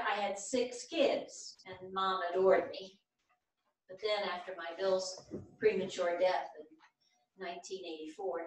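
A woman's voice: medium pitch, close to an everyday voice. A woman in a staged play reading, playing a candid older widowed mother with an earnest, storytelling delivery. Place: theatre stage, indoors. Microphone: far camera. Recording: echoey theatre stage from far off.

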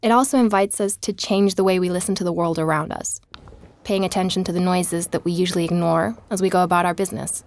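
An actress playing a curious woman investigator who hosts a podcast in an audio drama, speaking in a measured, explanatory way. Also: on the low side, steady tone, close to an everyday voice. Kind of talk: scripted documentary-style podcast narration.

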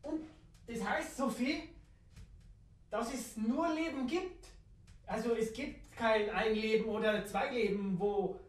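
A woman with a southern German or Austrian accent performing an improvised, absurdist spoken monologue with playful, rambling repetition. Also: fairly high pitch. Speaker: radio play performer. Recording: roomy echo, distant microphone.

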